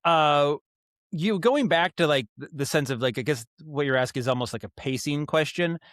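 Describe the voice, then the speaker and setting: A man speaking as a podcast guest in casual interview talk, explaining his craft in a halting, thoughtful way with frequent self-corrections. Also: on the high side, a bit bright, dry recording, clean studio sound. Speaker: guest, audio drama writer and director.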